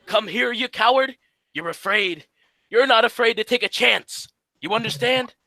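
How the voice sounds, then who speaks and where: A man playing the bossy, exasperated straight man of a comedy duo in a radio sketch, brisk and explanatory. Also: extremely high pitch, gravelly, sharp tone, exaggerated voice. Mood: scolding, urgent.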